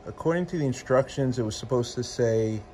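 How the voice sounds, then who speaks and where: A man's voice: mid-range voice, a little fast, a little mellow, a little hiss or hum. Matter-of-fact man demoing gear in a garage. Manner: plain-spoken, matter-of-fact, with frequent ums and uhs. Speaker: motorcycle audio shop owner. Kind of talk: casual product demo and review. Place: garage workshop with a motorcycle. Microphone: phone camera at arm's length.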